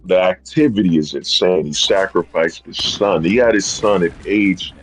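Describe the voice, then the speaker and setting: Low raspy guy in his 30s rambling on his stream, says bro a lot. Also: moderate pace, low-quality recording. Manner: rambling, keeps saying "bro" and "you know what I'm saying". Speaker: streamer host. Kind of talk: casual livestream rant.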